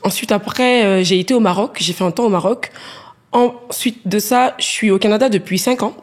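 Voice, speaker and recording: Lively woman. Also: medium pitch, normal speed. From Guinea. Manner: lively, many hesitations. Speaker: podcast guest. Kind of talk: casual podcast chat.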